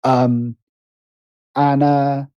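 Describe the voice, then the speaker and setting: A man's voice: mid-range voice, level tone, extremely slow, warm tone, clean tone, no echo, muffled recording. A British man telling personal stories conversationally as an interview guest, hesitant and often interrupting himself as he thinks aloud.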